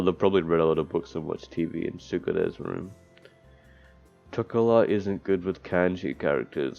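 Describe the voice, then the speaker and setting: A man's voice: low-pitched, gravelly, a little mellow. A man streaming a visual novel, reading its text aloud and adding crude, sardonic, profane commentary. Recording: dull lo-fi mic, music behind. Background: game music.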